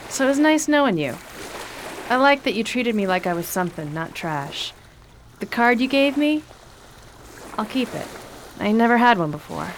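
A woman's voice: fairly high pitch, very animated, normal speed, long pauses. Woman acting a plain-spoken traveller, faint noise. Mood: wistful. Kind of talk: radio theatre acting.

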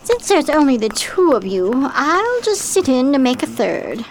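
A woman's voice: high voice, big pitch swings, measured pace, a little hiss or hum, exaggerated voice, rough recording. A woman playing a chic, coaxing gambling-parlor hostess in a 1920s period radio drama, gracious and sugary.

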